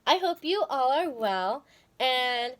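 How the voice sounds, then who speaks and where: A woman reading a children's story aloud in a dramatic, expressive way. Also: high-pitched, slow pace.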